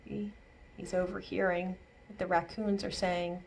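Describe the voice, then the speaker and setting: A woman's voice: lowish voice, flat delivery, a little slow, vocal fry, slight background noise. A woman reading a picture book aloud to young children as a storytime librarian, expressively, with simple asides explaining words and pictures.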